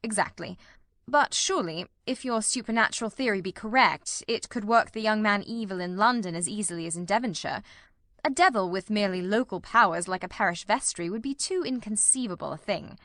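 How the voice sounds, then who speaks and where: A brisk, incisive Victorian gentleman detective, voiced by a woman reader. The delivery is precise and commanding with dry wit, at an ordinary female pitch. The sound is close, with no room around it. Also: no echo, close to an everyday voice. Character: brisk, incisive, dryly witty male detective. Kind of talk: dramatised reading of a Victorian detective novel.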